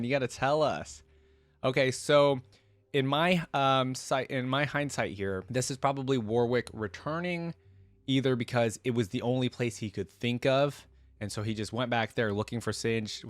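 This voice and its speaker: A man casually commenting as a reaction host and excitable fan, sometimes reading game lore aloud. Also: fairly low pitch, no room sound.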